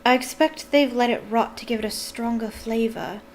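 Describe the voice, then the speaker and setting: Woman as an earnest, high-pitched schoolgirl. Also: sing-song, a little hiss or hum. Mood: knowledgeable. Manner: brisk, earnest, anxious. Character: earnest, know-it-all schoolgirl, anxious to please.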